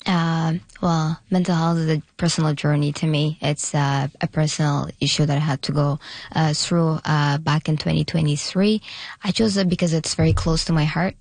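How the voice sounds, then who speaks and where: A young woman from Eritrea, raised in Sudan, speaking as a guest in a radio studio interview, halting and often restarting her sentences. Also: low-pitched, steady tone, darkish tone, no echo. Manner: halting, frequent restarts and hesitations. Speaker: guest, pageant contestant.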